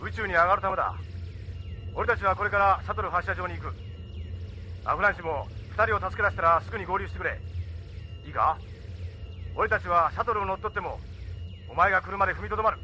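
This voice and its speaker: An actor playing a decisive, steadfast resistance captain in an anime audio drama, with a gruff manner. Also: male voice, high voice, quick pace, pauses often, sharp tone, a little hiss or hum. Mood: decisive, rallying.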